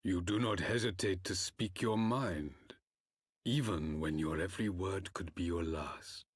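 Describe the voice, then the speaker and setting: Cold, solemn game emperor: very low husky voice, formal and unhurried delivery. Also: male voice, dry recording, clean studio sound. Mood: grave resolve. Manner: formal, measured, archaic grand diction. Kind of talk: scripted fantasy game cutscene acting.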